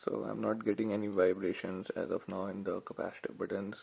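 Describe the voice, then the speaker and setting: A man's voice: low voice, flat delivery, brisk, creaky, a little mellow, husky, rough recording. A man giving casual, informal unboxing commentary as a tech reviewer, talking directly to viewers. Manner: informal, addresses viewers as guys.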